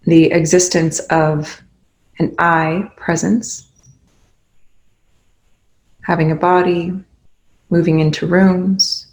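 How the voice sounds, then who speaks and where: A meditation guide leading a guided meditation in gentle, instructional phrases with pauses between them. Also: medium pitch, low-quality recording.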